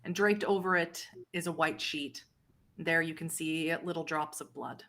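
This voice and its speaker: A woman's voice: low-pitched, fairly even intonation, moderate pace, a bit bright. A woman game master narrating a tabletop roleplay game in a composed, descriptive manner.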